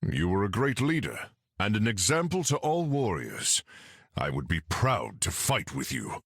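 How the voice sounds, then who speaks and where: Husky, deliberate Norse god: proud, hearty, grandly formal video game warrior. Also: male voice, fairly low pitch, wildly swooping pitch, a bit bright, no echo, clean studio sound, exaggerated voice.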